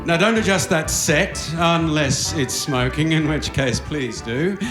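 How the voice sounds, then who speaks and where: A man's voice: a little high, a little slow, music in the background. A man narrating a live radio play in a wry, mock-grand, old-time wireless announcer style. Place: theatre stage with audience. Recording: roomy live theatre stage.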